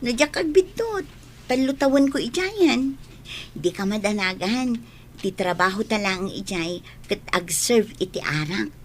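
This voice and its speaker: A woman's voice: mid-range voice, expressive, unhurried, a little hiss or hum, close to an everyday voice. A woman playing a friendly, persuasive young bar waitress in a scripted audio drama. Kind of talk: scripted radio-style drama.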